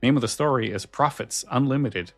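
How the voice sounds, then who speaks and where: A man's voice: mid-range voice, a little fast. A man co-hosting a podcast in a casual, musing, informal way. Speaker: podcast co-host. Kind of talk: casual chat.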